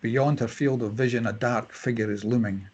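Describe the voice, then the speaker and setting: Male narrator, raspy mid-range voice, reading screenplay action lines. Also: mid-range voice, steady tone, normal speed, a little mellow. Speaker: stage-direction reader. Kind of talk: scripted narration at a table read.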